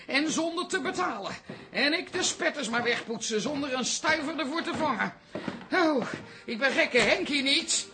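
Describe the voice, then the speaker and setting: An older toilet attendant in a radio play. She is excitable and gossipy, trips over her words, and her pitch swings widely as she chatters. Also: normal speed, background noise. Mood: flustered. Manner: flustered, gossipy, stammering.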